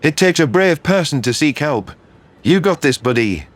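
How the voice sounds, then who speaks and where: A man's voice: fairly high pitch, expressive, normal speed. An actor playing a concerned, loyal human man in earnest, emphatic dialogue from a sci-fi audio drama. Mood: encouraging.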